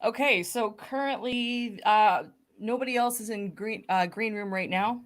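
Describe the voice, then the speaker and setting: A woman in her thirties co-hosting a video call, animated and quick to joke. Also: mid-range voice. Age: 30s. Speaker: co-host. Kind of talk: casual video call chat.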